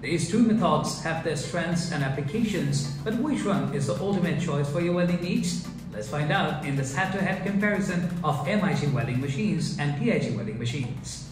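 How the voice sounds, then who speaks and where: A man narrating a scripted explainer video in an even, polished delivery without fillers. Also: a little high, normal speed, few pauses, music in the background. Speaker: channel narrator.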